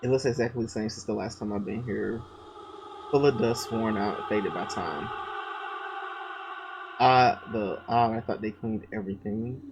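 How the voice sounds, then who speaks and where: Man reading game text over loud music. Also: lowish voice, normal speed, pauses often. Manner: swears and reacts loudly between read lines. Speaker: gamer playing a visual novel.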